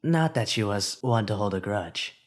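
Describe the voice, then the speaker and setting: A man's voice: on the low side, animated, normal speed. A man narrating a fan-made audiobook of a story, reading expressively and lifting his voice for the hero's inner thoughts.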